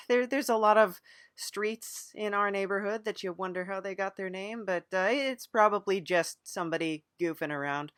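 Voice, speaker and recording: A woman hosting a casual improvised comedy interview, asking questions in a hesitant, deadpan way. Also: medium pitch, no echo, studio quality. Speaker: podcast host and interviewer.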